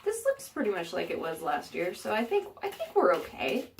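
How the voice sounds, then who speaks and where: A woman's voice: mid-range voice, wildly swooping pitch, a little fast, gravelly, faint music behind. A woman vlogging a casual how-to from her bathroom, rambling with fillers and joking asides, a little stuffed up. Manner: chatty, rambling, frequent fillers and self-mocking asides. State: a bit stuffed up. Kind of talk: casual how-to vlog chat. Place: home bathroom.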